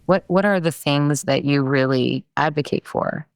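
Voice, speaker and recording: A woman hosting a podcast, asking thoughtful, measured interview questions. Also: sits low, clear, resonant tone, dry recording, clean studio sound. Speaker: podcast host. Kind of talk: conversational interview.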